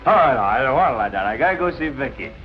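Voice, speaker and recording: An actor playing a drunken, amiable, stubborn nightclub regular in a 1940s film, rambling and repeating himself. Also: male voice, mid-range voice, sing-song, quick pace, dull audio, lightly acted. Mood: tipsy, cheerful. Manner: tipsy, rambling, repetitive. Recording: vintage 1940s film soundtrack, faint music.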